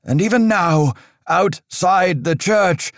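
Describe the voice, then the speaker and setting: Man voicing a shrill, quivering old priest. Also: slow pace, no room sound, studio quality. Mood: stern, worried. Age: older. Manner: accusing, preachy. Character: old fearful male priest, shrill and pious.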